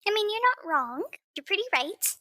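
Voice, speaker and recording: A woman's voice: very high voice, very animated, normal speed, sharp tone, dry recording, clean studio sound. A woman playing a tabletop game, planning aloud and decisively. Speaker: player.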